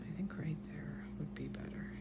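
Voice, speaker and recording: A woman with a light Dutch accent, calmly talking through each step as she draws and colors a mandala. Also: sits low, normal speed, whispered. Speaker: craft video host. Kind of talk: relaxed craft tutorial narration.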